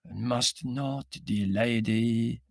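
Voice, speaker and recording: Drunk middle european gent for an audiobook, heavy accent, slow solemn intoning, lecherous and courtly. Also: male voice, medium pitch, steady tone, gravelly, a little mellow, dry recording, clean studio sound. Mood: grave, solemn. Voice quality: heavy, sepulchral. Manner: intoning, doleful, florid. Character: tipsy, gangling Middle European man, gravely courtly and lecherous.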